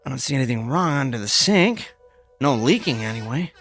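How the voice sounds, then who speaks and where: An actor in a radio drama playing a middle-aged, henpecked amateur inventor, fussy and excitable, given to muttering and meek stammering. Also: male voice, medium pitch, a little fast, faint music behind, lightly acted. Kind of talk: comic radio drama acting.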